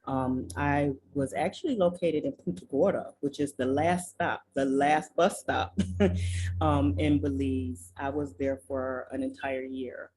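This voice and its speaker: A woman from Michigan telling her story as an interview guest, slow and earnest, with frequent ums and restarts over a video call. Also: a bass voice, fairly even intonation, darkish tone, breathy edge, poor audio. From Michigan, USA. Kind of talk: reflective personal storytelling. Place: rural village in southern Belize.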